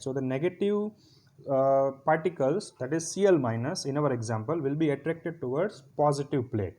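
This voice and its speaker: A man giving a step-by-step physiotherapy lecture over slides, often pausing to ask if it is clear. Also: on the high side, warm tone.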